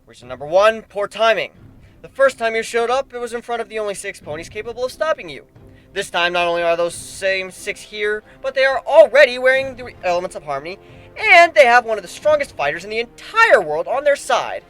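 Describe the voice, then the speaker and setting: A man's voice: high-pitched, lively intonation, brisk, bright, forceful tone, voice pushed up. A man voicing a sarcastic, cocky male griffin warrior in a dramatic story reading, mocking and emphatic. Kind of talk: dramatic fan fiction reading. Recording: background music under the voice.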